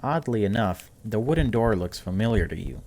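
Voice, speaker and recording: A man's voice: on the low side, animated, a little fast, a little mellow. A man hosting a gaming video, reading story text aloud dramatically and breaking off for casual, wry asides.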